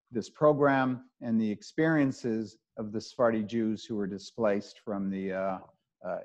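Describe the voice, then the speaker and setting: A man moderates an online panel and sets up the evening's context in a measured way. He fills his pauses with "uh" and "um". His voice comes through a muffled video-call line. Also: mid-range voice, a little mellow. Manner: measured, with frequent "uh" and "um". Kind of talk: Zoom panel introduction.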